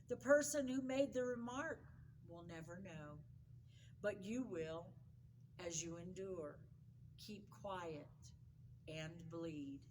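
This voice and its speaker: Female devotional reader, unhurried and measured, weighty emphasis, faint noise behind the voice. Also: medium pitch, rough recording. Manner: measured, dramatic pauses and emphasis. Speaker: devotional reader, pastor's wife. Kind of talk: scripted devotional reading.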